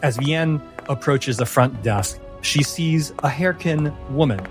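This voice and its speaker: A man's voice: mid-range voice, takes it slowly. Male game master narrating over music. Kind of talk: descriptive tabletop game narration. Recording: music playing under the voice.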